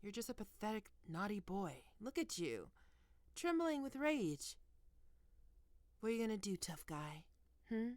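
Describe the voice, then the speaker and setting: A woman's voice: mid-range voice, very animated, measured pace, long pauses, a little mellow, no echo. A woman playing a stern, taunting goth college professor in an ASMR roleplay, with a scolding, condescending manner. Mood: mocking. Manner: scolding, condescending, dropping into breathy murmurs.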